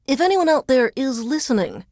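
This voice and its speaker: A wry newswoman stuck working as a game radio DJ. Her voice sits fairly high and moves with lively inflection, yet she keeps a formal, deadpan newsreader delivery. The sound is close and dry. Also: normal speed, dry recording. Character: wry woman news reporter reduced to radio DJ. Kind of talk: scripted radio DJ announcing.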